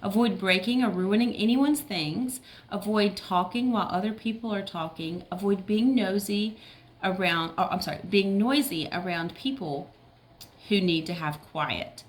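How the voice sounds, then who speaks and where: A woman's voice: mid-range voice, normal speed. A woman teacher reading a picture book aloud to young children, with simple explanations and check-in questions.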